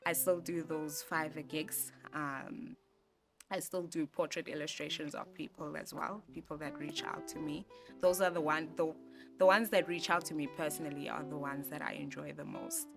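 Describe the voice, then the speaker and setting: Low-voiced South African woman in her twenties. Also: steady tone, normal speed, vocal fry. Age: 20s. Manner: soft-spoken, reflective, with many fillers and restarts. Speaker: interviewee, graphic designer. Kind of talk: relaxed personal interview. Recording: music bed playing behind voice.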